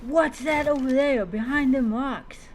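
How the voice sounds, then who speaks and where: A woman's voice: high voice, unhurried, slight background noise. A woman narrating a video game in character, with a theatrical, exclamatory storytelling manner. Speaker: streamer role-playing a character in a video game.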